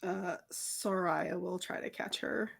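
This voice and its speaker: A woman's voice: lowish voice, slow pace, vocal fry, breathy edge. A woman playing a tabletop roleplay game, casually saying what her character does and says.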